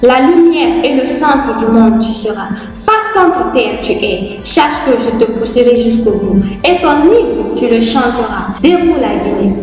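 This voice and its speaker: A young woman reciting a patriotic spoken-word poem in a solemn, declamatory way, in short deliberate phrases. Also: fairly high pitch, lively intonation, darkish tone, soft background music. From Guinea. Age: young adult. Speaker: poet. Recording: very echoey, long hall reverb.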